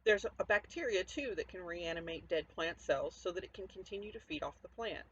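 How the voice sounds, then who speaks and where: A woman's voice: on the low side, fairly quick. Woman writer doing a story reading at home, informal, kinda dull sound w a bit of noise. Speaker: author reading own fiction aloud. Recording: dull lo-fi audio, noticeable background noise.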